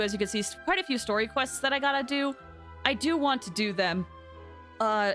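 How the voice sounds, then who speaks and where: A woman's voice: a little high, sharp tone. A woman chatting casually and animatedly on a game livestream, rambling as she talks to viewers.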